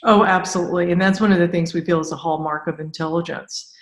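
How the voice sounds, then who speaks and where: A woman's voice: fairly low pitch, steady tone, fast, reverberant. A woman hosting a conversational podcast interview, speaking in an effusive, enthusiastic way.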